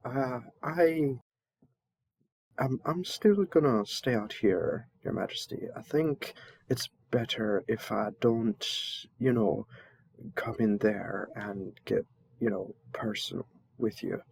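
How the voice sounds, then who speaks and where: A man's voice: on the low side, takes it slowly, vocal fry, darkish tone, husky, no echo, full character voice. A man playing a flustered, polite envoy mistaken for a servant, speaking hesitantly with stammers and deference in a roleplay audio drama. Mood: awkward, hesitant.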